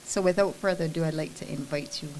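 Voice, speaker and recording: A woman, hosting a formal event in a measured, polished way at a podium mic. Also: low voice, a little mellow, a little hiss or hum, poor audio. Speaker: presenter. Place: conference room at a press event.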